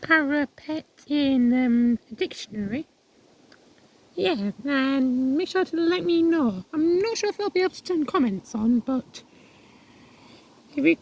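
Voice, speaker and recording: A woman with a croaky voice walking outdoors, chatting casually to her viewers and reading signs aloud with many restarts. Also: high-pitched, darkish tone, rough recording. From England. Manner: rambling, restarts words, reads signs aloud haltingly. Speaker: vlogger. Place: outdoors on a viaduct.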